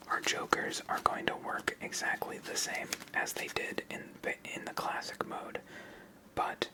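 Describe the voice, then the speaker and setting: An ASMR host whispering a methodical, step-by-step walkthrough of a card game. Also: low-quality recording. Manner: methodical, explains moves step by step.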